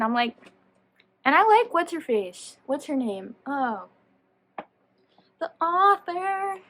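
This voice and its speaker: A woman giving a casual, chatty book review as a vlogger, animated and slangy, often rambling and interrupting herself. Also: high voice, a little hiss or hum.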